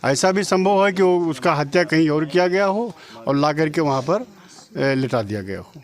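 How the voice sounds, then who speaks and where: A man's voice: fairly high pitch, animated, moderate pace. A middle-aged man from Uttar Pradesh giving testimony in an interview, insistently arguing point by point and repeating his claims. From Uttar Pradesh, India. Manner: insistent, argues point by point, repetitive. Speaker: relative of the victim being interviewed.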